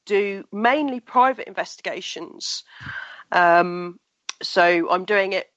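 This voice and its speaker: A woman's voice: lowish voice, a bit bright, no echo. A woman speaks with a stuffed-up, nasal tone, easy and chatty as a guest on an online radio show. The sound is dry and close, but dulled and a little lo-fi, like audio over a call. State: blocked nose, nasal. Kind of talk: casual interview talk.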